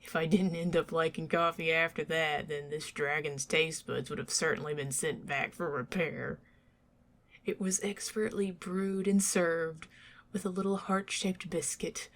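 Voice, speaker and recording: A woman with a Southern accent voicing a shy, affectionate young dragoness on a dinner date, talking softly and often slipping into whispers, joking at her own expense. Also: deep voice, gravelly. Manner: soft, intimate, drifting into whispers; self-deprecating, joking, flustered. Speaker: ASMR performer. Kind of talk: ASMR roleplay.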